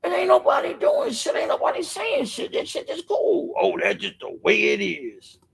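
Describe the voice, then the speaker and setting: A middle-aged man with a raspy voice, speaking in an impassioned, profane monologue on a podcast, filling his pauses with "man" and circling back through restarts. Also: very high voice, wildly swooping pitch. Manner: rambling with restarts. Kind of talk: impassioned street-life monologue.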